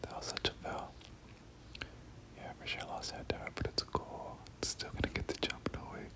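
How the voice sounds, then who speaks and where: A gaming creator giving ASMR game commentary in a breathy whisper, often repeating words in soft rhythmic runs. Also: moderate pace.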